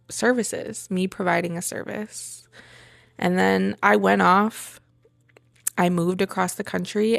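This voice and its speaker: A woman giving a reflective personal monologue in halting phrases, with pauses and emphatic repetition. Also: fairly low pitch, gravelly, dry recording, studio quality. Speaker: vlogger sharing a personal journey.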